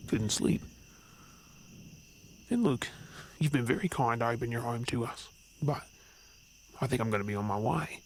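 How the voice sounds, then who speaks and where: A man with an Australian accent playing a burdened, wary young werewolf in an ASMR audio drama, talking casually and haltingly. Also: mid-range voice, a little hiss or hum, natural voice. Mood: uneasy.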